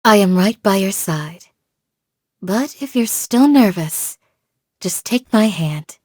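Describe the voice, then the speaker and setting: A woman playing a calm, devoted village guardian in a video game, speaking in a measured, formal, gently solemn way. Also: medium pitch, dry recording, clean studio sound. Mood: gentle, warm. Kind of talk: scripted game character voice lines.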